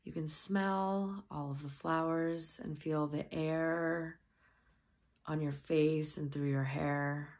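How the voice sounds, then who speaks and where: Slow, low-pitched woman guiding meditation softly. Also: steady tone. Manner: soft, gentle, breathy near-whisper at times. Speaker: guided meditation host. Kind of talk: softly spoken guided meditation.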